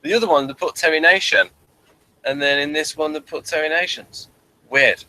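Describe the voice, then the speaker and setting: A man's voice: on the high side, normal speed, sharp tone, rough recording. A man hosting a casual review chat, rambling and repeating phrases as he thinks, sometimes reading from notes. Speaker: review show host.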